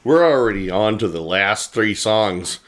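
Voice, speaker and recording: A man's voice: lowish voice, lively intonation, unhurried. A man from the American Midwest chatting casually about an album, teasing his friend and sharing trivia he looked up. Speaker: podcast co-host.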